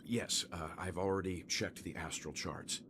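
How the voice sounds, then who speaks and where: Calm middle-aged mentor; very deep, measured and composed. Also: male voice, noisy background. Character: calm wise older male mentor. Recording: game audio with music.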